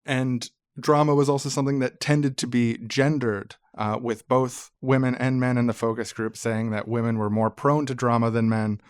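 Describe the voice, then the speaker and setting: A man's voice: mid-range voice, flat delivery, moderate pace, few pauses, clear, resonant tone, no room sound, clean studio sound. A man co-hosting a podcast, explaining things in an informal, conversational way. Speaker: podcast co-host.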